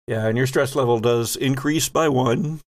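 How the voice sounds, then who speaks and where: A man acts as game master at a tabletop role-playing game. His middle-pitched voice moves between rules and improvised description in a conversational way, and it sounds close and dry. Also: moderate pace, no echo.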